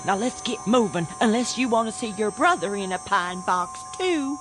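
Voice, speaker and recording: A woman's voice: medium pitch, expressive, normal speed, music in the background, lightly acted. An actress with a rural American accent playing a stern, superstitious, protective middle-aged mother in a radio drama. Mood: stern, foreboding.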